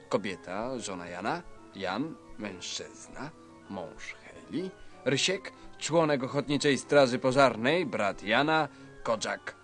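A man narrating a spoof crime story in a theatrical, deliberate, mock-dramatic style. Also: mid-range voice, fairly bright tone.

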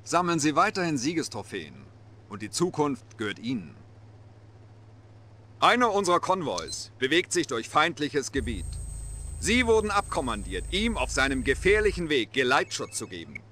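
Game cutscene casting: authoritative Soviet general, middle-aged, sharp-toned with lively intonation, measured formal orders. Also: male voice, on the high side, slight background noise. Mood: formal, commanding. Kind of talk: dramatic military briefing.

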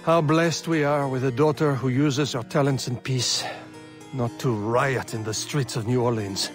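Game casting: man as courtly older father, gentle and formal, unhurried, music faintly behind. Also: mid-range voice, fairly even intonation, close to an everyday voice. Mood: fond, concerned. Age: middle-aged or older. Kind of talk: video game cutscene acting.

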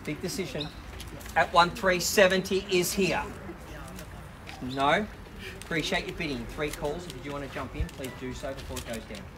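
A man with an Australian accent working as an auctioneer, calling bids at a live property auction on a suburban driveway outdoors and pressing the bidders to act quickly. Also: on the high side, crowd noise. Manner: brisk, persuasive, pushing bidders to act.

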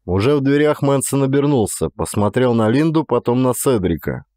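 A man's voice: mid-range voice, animated, moderate pace, dark tone, dry recording, clean studio sound. A man reading a detective thriller as scripted audiobook narration.

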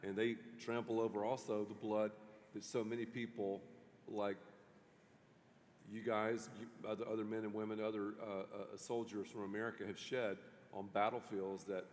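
A middle-aged man, a pastor, interviewing a guest on a church stage in an animated way, with many restarts. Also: fairly low pitch, moderate pace. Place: large church stage before an audience. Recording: long hall reverb.

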